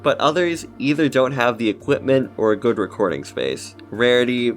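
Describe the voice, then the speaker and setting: A man's voice: mid-range voice, lively intonation, moderate pace, faint music behind. A man giving casual scripted commentary as a video essayist.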